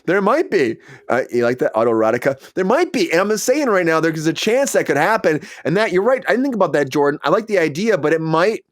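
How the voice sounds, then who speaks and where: A man's voice: on the high side, big pitch swings, brisk, hardly any pauses, no echo, clean studio sound. A man hosting a casual talk show, playful and teasing as he addresses his co-hosts and the live chat. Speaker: host. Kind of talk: casual podcast banter.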